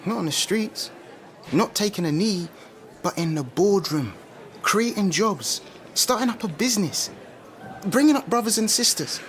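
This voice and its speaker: A man's voice: high-pitched, expressive, a little slow, pauses often. An actor playing a confident, street-smart young man with a London accent in a radio drama, slangy and opinionated. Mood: impassioned. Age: young adult. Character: confident young British man, outspoken musician-turned-speaker, street-smart. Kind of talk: naturalistic radio drama dialogue.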